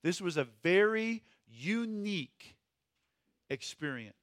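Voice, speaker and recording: Male teacher, fairly high voice, informal and emphatic, question-led delivery, very dry recording. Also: expressive, slow, a bit bright, dry recording. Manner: conversational, asks questions, emphatic key words. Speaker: Bible teacher leading a group study.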